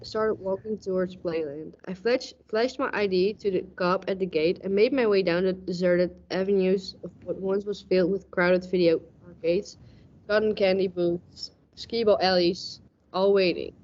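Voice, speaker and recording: A young woman playing a cynical, hardboiled police lieutenant with deadpan, tough-talking noir narration in a radio play parody. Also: medium pitch, moderate pace, natural voice. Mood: brooding, deadpan. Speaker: student radio play actor. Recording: dull, muffled lo-fi recording.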